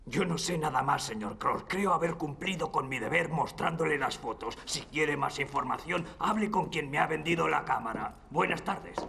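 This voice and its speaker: Minor cartoon figure, brusque and gravelly, slightly high. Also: male voice, lively intonation, normal speed, hardly any pauses, sharp tone, slight background noise, full character voice. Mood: curt.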